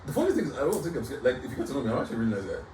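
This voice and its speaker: A man's voice: medium pitch, recorded from a distance. A young man, a podcast guest joking around and defending himself. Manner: fast, animated, defensive joking. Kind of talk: casual banter interview. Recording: roomy, off-mic, faint background hiss.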